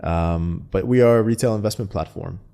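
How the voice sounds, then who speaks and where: Man, low gravelly voice, dark tone, relaxed interview chat, lots of fillers. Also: normal speed. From Canada. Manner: relaxed, many fillers and restarts. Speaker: startup founder, guest. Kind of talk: casual interview talk.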